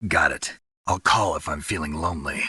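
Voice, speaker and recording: An actor playing a cocky, flirtatious legendary soldier in a video game, with a gravelly voice and a dry, cool, teasing manner. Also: male voice, a bass voice, moderate pace, pushed and bright, a little hiss or hum, exaggerated voice. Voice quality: gravelly, husky.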